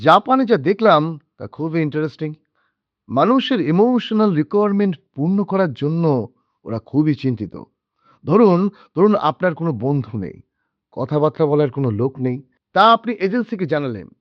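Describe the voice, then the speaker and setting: A man's voice: a little high, sing-song, moderate pace, no echo. An actor playing a glib, self-assured middle-aged businessman in a radio drama, peppering his talk with English interjections. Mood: persuasive, lecturing. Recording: dull lo-fi audio with background music.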